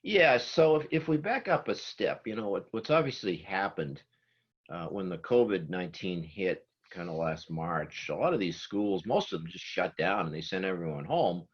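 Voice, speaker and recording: Deep husky older man with a Boston accent. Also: very animated, normal speed, highs cut off. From Massachusetts. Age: 70s. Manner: chatty, laughs easily, restarts sentences. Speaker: guest. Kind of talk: casual video call chat.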